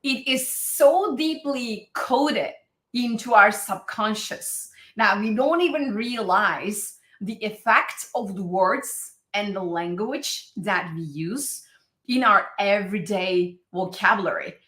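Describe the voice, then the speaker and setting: A woman's voice: mid-range voice, animated, takes it slowly, a bit bright, echoey room. A woman with a Turkish accent, a podcast host whose first language is Turkish, talking in an enthusiastic, affirming way. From Turkey. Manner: enthusiastic, affirming, laughs often. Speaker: podcast host and NLP teacher. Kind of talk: conversational interview.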